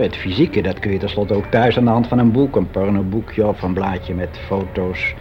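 An actor playing a frank, wistful clerk of about sixty in a radio drama monologue, speaking in a musing, halting, confiding way. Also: male voice, lowish voice, slight background noise. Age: older, about sixty. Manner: musing, halting, candid, with drawn-out phrases. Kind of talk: confessional radio drama monologue, conversational and hesitant. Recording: dull lo-fi radio play recording.

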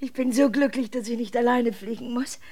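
A woman's voice: on the high side, brisk, background noise, lightly acted. A woman playing a genteel, anxious old lady in a science fiction radio drama, polite and tentative. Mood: nervously chatty.

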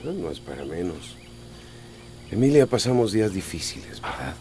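An actor playing a weary, caring middle-aged small-town mayor with a Central American accent, in a radio soap opera. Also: male voice, deep voice, very animated, normal speed, dark tone, a little hiss or hum. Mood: weary, earnest.

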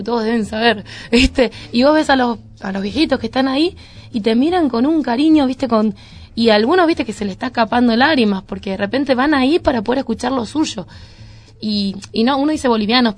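A woman with a Buenos Aires-area Argentine accent chatting in a radio interview in a rambling, colloquial way full of little tag words. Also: on the high side. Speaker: band member, preschool teacher. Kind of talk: casual radio interview chat. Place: radio studio.

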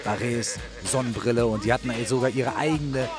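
A man's voice: mid-range voice, normal speed. Man, mid-range voice, rapper co-host, casual slangy chat, enthusiastic, emphatic, music behind.

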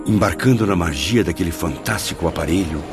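A man's voice: deep voice, brisk. An actor in a radio drama playing a spirit who narrates his memories in a florid, theatrical, savouring way. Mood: reflective. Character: male spirit narrator, wonderstruck, reminiscing. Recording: music behind the voice.

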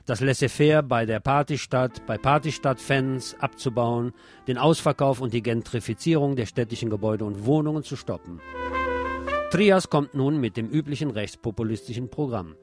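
A man's voice: mid-range voice, normal speed, darkish tone, faint music behind. A man presenting scripted radio news commentary, with opinionated, wry asides.

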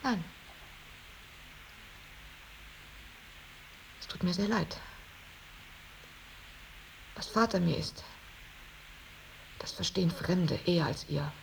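An actress playing an earnest, devoted unmarried daughter in a German radio drama, speaking in a measured, intense way with weary dignity. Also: female voice, lowish voice, low-quality recording. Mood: firm, devoted. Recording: radio drama with clear tape hiss.

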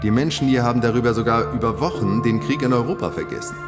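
An actor playing a skeptical, exasperated defence lawyer, formal and insistent, in a German radio drama. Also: male voice, medium pitch, fast talker, over loud music, close to an everyday voice.

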